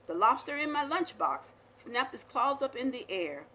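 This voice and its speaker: A woman reading a children's picture book aloud as a storyteller, with expressive, dramatic delivery. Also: high voice, normal speed, a little hiss or hum.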